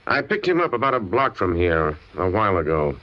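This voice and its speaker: An actor playing a blunt, probing police lieutenant in 1940s radio style, clipped and authoritative. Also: male voice, low voice, very animated, brisk. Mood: stern. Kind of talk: 1940s old-time radio acting. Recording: muffled, noisy old radio recording.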